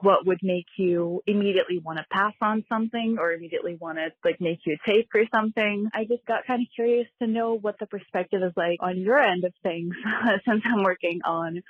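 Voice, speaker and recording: A woman's voice: on the low side, dry recording. Nervous Brazilian woman on a phone line. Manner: nervous, rambling, giggly. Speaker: caller. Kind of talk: recorded voicemail question.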